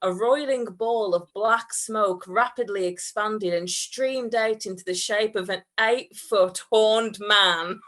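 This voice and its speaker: A woman's voice: medium pitch, slow, few pauses, fairly bright tone. A woman reading comic fan fiction aloud in a hammy, giggly narrating manner. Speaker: reader at a comedy fundraiser stream.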